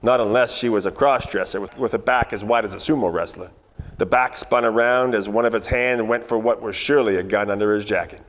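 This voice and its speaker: A man's voice: fairly low pitch, fairly even intonation, fairly quick, fairly bright tone, lightly acted. A man playing a wisecracking, cocky 1940s private detective with wry, world-weary slangy delivery in a radio noir parody. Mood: wry narration. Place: theatre production shot before green screen. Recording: echoey hall, faint noise.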